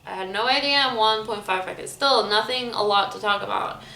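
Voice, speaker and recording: A woman's voice: medium pitch, fast, vocal fry, bright, forceful tone. A woman from Lebanon chatting casually and informally, thinking aloud and often hedging as she goes. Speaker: vlogger. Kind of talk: casual rambling chat. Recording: roomy echo, faint background noise.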